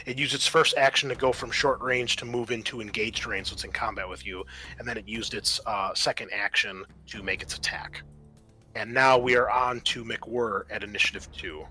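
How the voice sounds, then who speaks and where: A man runs a tabletop roleplaying game as game master. His voice is bright and forceful, and he lays out the rules methodically, step by step. Music plays behind him. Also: on the low side, steady tone, normal speed. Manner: methodical, explanatory.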